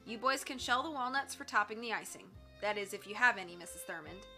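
A woman reading a brisk young woman sleuth. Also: medium pitch, expressive, bright, forceful tone, faint music behind. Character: confident young woman detective, cheerful and practical.

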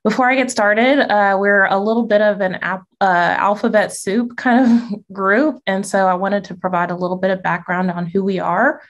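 A woman's voice: medium pitch, steady tone, normal speed, hardly any pauses, highs cut off. A woman presenting over a video call, friendly and explanatory, with light laughs now and then. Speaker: conference presenter, associate director. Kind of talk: virtual conference presentation.

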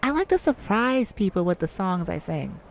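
A woman's voice: mid-range voice, sing-song, moderate pace, creaky, a little hiss or hum, pitched below the performer's own voice. A woman voicing a shy, sweet, easily flustered young male singer in an ASMR roleplay, with a stammering, earnest manner. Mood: nervous, flustered.

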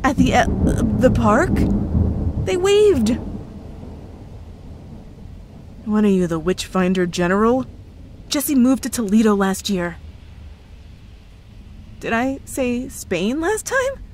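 A woman's voice: a little high, big pitch swings, takes it slowly, pauses often, a little mellow, slight background noise. A woman playing a cheerful, evasive young girlfriend who is secretly a witch, in a playful ASMR roleplay with feigned innocence. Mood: flustered denial. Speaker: audio roleplay voice actress. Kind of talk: ASMR roleplay, scripted one-sided dialogue.

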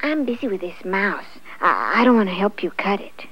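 A woman's voice: mid-range voice, sing-song, moderate pace. A woman voicing a busy, unhelpful male cat in a children's story, full of dismissive excuses. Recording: dull lo-fi sound with faint music.

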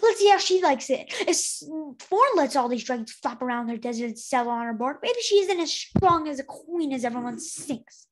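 A woman's voice: high-pitched, lively intonation, normal speed, few pauses. A woman voicing an irritable, proud young dragon queen from a storybook, snappish, emphatic and indignant. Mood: dismissive, scornful.

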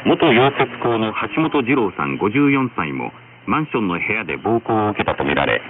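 Over a noisy, narrow shortwave radio signal, a man reads the news in a formal, measured way. His voice sits fairly low and moves widely in pitch as he delivers the script. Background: radio static and noise.

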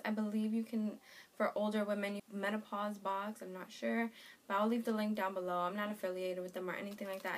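A young woman in her twenties with a croaky, sore-throated voice, chatting casually to camera as she unboxes a subscription box in her bedroom. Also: mid-range voice. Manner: chatty, reads product labels aloud. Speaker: beauty and lifestyle vlogger. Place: small bedroom in front of a red wall.

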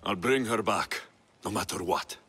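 A man's voice: lowish voice, normal speed, pushed and bright, breathy edge, full character voice. An actor playing a brooding Greek mercenary in his thirties in a video game, intense and resolute. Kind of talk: video game cutscene acting.

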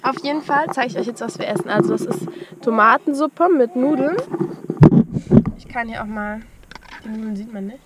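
A woman's voice: fairly high pitch, normal speed, pauses often. A woman, chatting casually to a camera inside a small camper van. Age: 20s. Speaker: travel vlogger. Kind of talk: casual vlog chat to camera. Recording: very noisy camera audio with clicks.

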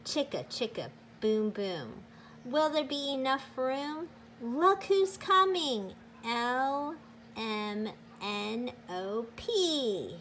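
Very slow-paced woman reading a picture book aloud. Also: on the high side, very animated, fairly bright tone, slight background noise. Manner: slow, deliberate, sing-song with emphatic exclamations. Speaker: teacher reading aloud to children.

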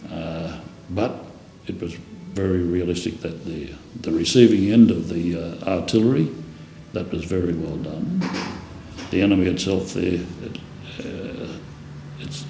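A man's voice: low voice, a little slow, creaky, warm tone. An Australian man in his seventies, a veteran giving a rambling, colloquial, plain-spoken interview. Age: 70s. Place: indoor room, seated. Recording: echoey room, faint noise.

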